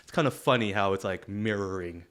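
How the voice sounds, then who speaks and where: A man's voice: lowish voice, a little fast, fairly bright tone. A man co-hosting a podcast in casual, unscripted chat, loose and slangy, often hedging with vague tags and swearing. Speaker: podcast co-host.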